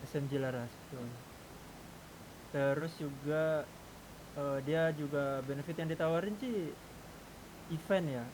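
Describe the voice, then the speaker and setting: A man's voice: unhurried, pauses often, a little mellow. A man in a casual roleplay gaming discussion, explaining things and asking the others for their views. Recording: clearly noisy game voice chat, low rumble.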